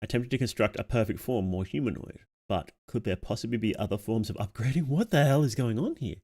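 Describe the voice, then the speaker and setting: A man's voice: mid-range voice, lively intonation, fast talker, a little mellow. A man streaming casually, reading on-screen text aloud and musing on it as he goes. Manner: reads text aloud, muses and reacts aloud. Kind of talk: casual livestream reading and commentary.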